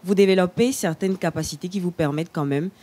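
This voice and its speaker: Woman, young adult, slam poet guest, measured, warm dark tone. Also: fairly low pitch. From Togo. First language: Lugba. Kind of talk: TV studio interview.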